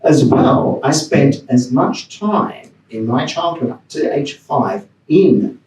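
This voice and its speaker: A man's voice: mid-range voice, expressive, takes it slowly, a little mellow, roomy sound, recorded from a distance, poor audio. A man from Australia giving a personal, rambling talk on a panel, with restarts and chuckles. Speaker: panel speaker. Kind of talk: academic talk. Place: lecture room.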